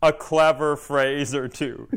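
A man's voice: on the high side, steady tone, slow pace, vocal fry, very noisy. A man co-hosting a podcast, telling stories casually in a joking, self-mocking way. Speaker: podcast co-host, stand-up comedian. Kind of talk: casual conversational storytelling.

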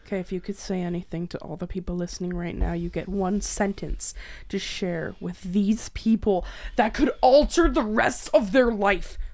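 Woman podcast host, casual chat, informal, excitable, mid-pitched. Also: normal speed.

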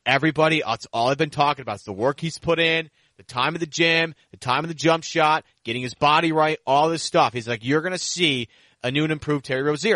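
A man's voice: fairly high pitch, pushed and bright, dry recording. A man hosting a casual sports podcast, talking in a rambling, conversational way.

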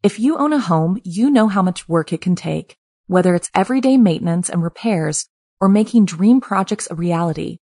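Female ad voice, slightly low pitch, dry studio read, smooth and polished. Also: expressive, normal speed, mellow, no room sound. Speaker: ad announcer. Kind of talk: read advertisement.

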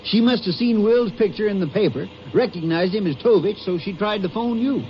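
Higher-voiced folksy country sheriff acted by a man. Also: a little fast, close to an everyday voice. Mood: figuring it out. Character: folksy small-town Texas sheriff, loyal sidekick. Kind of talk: 1950s radio western acting. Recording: muffled, noisy old radio broadcast.

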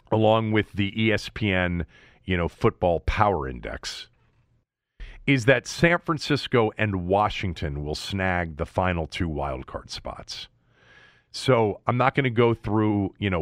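A man's voice: sits low, fairly bright tone, no room sound, studio quality. A man hosting a sports talk show, explaining his analysis in an emphatic, conversational monologue, thinking aloud as he goes.

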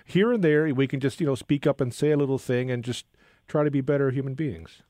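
Guy hosting a radio interview, halting, keeps restarting, dry close sound. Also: medium pitch, dark tone, dry recording. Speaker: radio host.

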